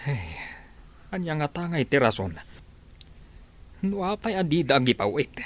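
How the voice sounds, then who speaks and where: A man playing a worried old farmer father in an Ilocano radio drama, with a husky voice. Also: on the high side, sing-song, measured pace. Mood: anxious, stern.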